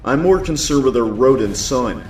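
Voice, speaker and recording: A man's voice: medium pitch, expressive, normal speed, darkish tone, close to an everyday voice. A man playing a serious, watchful echidna guardian and father in a comic dub. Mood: serious, reserved. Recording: echoey room, music underneath.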